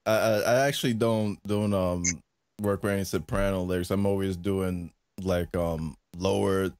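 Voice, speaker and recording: A man with a low voice chatting on a podcast about making music, speaking hesitantly with many restarts. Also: steady tone, normal speed, a little mellow, dry recording. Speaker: music producer and streamer. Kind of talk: casual chat.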